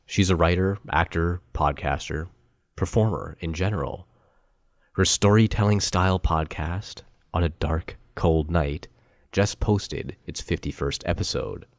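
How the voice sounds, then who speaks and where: A man's voice: sits low, a little mellow, no room sound, studio quality. A man hosting a podcast, introducing and interviewing a guest. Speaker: podcast host.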